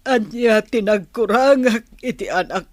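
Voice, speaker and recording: A mid-pitched middle-aged mother, sorrowful and worried, acted by a woman in a radio play. She speaks very slowly in a dry recording. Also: a little mellow, dry recording. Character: sorrowful, worried village mother missing her child. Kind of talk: radio drama acting.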